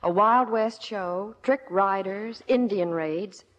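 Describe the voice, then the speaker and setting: A woman's voice: mid-range voice, slow. A woman working as a Hollywood reporter, delivering scripted promotional chat on 1950s radio. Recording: echoey theater, vintage radio broadcast.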